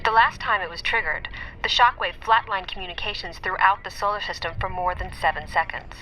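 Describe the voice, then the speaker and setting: An actress playing a composed artificial intelligence in a radio drama, speaking in a measured, formal way. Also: female voice, medium pitch, pushed and bright, slight background noise, close to an everyday voice, rough recording. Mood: grave, urgent. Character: composed female artificial intelligence, earnest tactical leader. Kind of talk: naturalistic radio drama acting.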